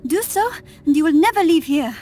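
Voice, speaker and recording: A woman's voice: high-pitched, expressive, fairly quick, background noise. An actress playing an earnest, frightened young French woman with a French accent in a video game cutscene. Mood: recounting, subdued. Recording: music behind the voice.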